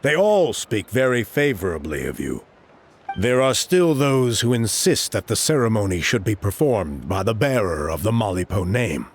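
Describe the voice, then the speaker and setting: An old tribe elder in a game, genial but pushy, raspy lowish voice, swoops up and down, kinda formal. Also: male voice, sing-song, moderate pace. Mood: persuasive. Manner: formal, smooth-talking, expansive. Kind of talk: video game character acting.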